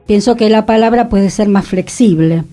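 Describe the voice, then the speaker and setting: A woman's voice: medium pitch, normal speed, dark tone, soft background music. A woman co-hosting a cultural radio talk show, speaking in a thoughtful, conversational way. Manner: thoughtful, conversational, with occasional laughs. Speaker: radio co-host. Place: radio studio.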